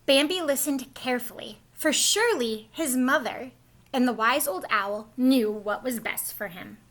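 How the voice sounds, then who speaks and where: A woman reads a picture book aloud for young children. Her voice is high and bright, and she tells the story expressively, taking care that small listeners follow along. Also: a little slow. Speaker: storybook reader, school staff.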